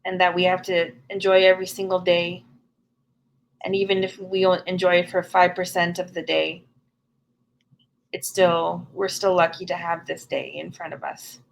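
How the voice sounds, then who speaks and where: A woman's voice: lowish voice, level tone, normal speed, gravelly, a little hiss or hum, rough recording. A middle-aged woman from Canada, speaking earnestly and frankly as a guest in an interview. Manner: earnest, frank and plain-spoken. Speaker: podcast guest. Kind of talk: candid conversational interview.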